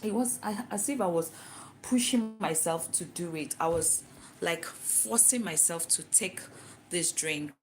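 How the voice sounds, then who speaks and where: Chatty Nigerian woman with a low voice. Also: a little slow. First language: Igbo. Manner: chatty and explanatory, asks herself questions and answers them. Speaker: vlogger. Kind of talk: casual talk to camera.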